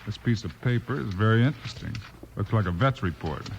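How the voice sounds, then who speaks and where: A man's voice: fairly low pitch, expressive, fairly quick, creaky, lo-fi sound. An actor playing a brave, decisive frontier lawman with a commanding manner in a 1950s radio western. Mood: focused. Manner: firm, commanding. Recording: noisy old 1950s radio recording.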